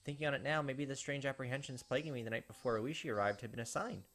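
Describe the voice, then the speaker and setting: A man's voice: mid-range voice, fast talker, fairly bright tone. A man hosting a let's play, chatting casually and reading the game's story text aloud.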